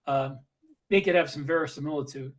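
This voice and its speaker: A man's voice: on the high side, brisk. A man in a casual podcast discussion, explaining things and giving advice in a conversational way. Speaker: podcast host and author.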